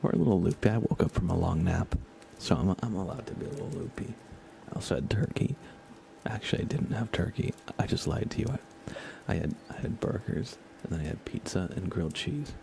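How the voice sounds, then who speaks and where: A soft-spoken man chatting casually as he hosts an ASMR gaming stream, often slipping into whispers. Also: low-pitched, expressive, a little fast, gravelly. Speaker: livestream host. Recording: faint computer fan noise. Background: computer fans, game ambience.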